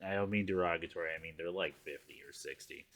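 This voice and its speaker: A man's voice: sits low, quick pace, fairly bright tone, no echo. A tired man co-hosting a podcast, in casual chat, rambling and joking crudely with lots of laughs. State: tired, low voice. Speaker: podcast co-host.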